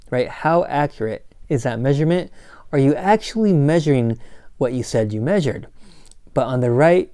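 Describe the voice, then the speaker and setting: A man giving a recorded college lecture in a casual, joking way, his voice slightly hoarse, often checking in with "right?". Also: mid-range voice, warm tone, no echo, studio quality. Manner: casual, corny asides, frequent "right?" and "um". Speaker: college instructor.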